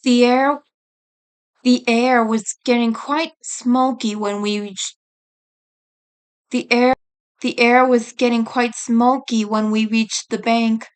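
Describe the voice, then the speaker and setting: Slow, high-voiced woman narrating a story. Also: fairly even intonation, clear, resonant tone. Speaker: author reading own book chapter.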